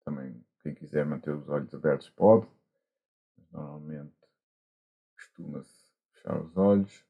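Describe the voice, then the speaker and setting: A man with a European Portuguese accent, a monk guiding a meditation gently and haltingly, with long pauses, over a video call. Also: extremely low pitch, gravelly, breathy edge. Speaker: Buddhist monk, meditation teacher. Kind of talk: guided meditation instruction. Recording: muffled, dull online call audio.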